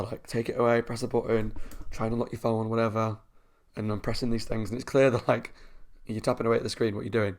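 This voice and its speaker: A young man hosting a reaction channel at home, chatting casually with a croaky, sore voice and frequent laughs. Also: mid-range voice. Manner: chatty, talks a lot, laughs often.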